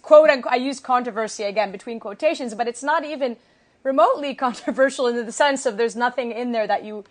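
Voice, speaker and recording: A woman with an American accent interviewing a guest in a quick, chatty way. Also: a little high. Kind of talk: casual interview conversation.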